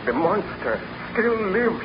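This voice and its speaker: Casting: tormented baron-scientist, higher-set voice, earnest 1930s radio melodrama, noisy vintage sound. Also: male voice, sing-song, takes it slowly, dull audio, exaggerated voice. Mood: weary, troubled. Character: anguished, guilt-ridden male scientist, a baron.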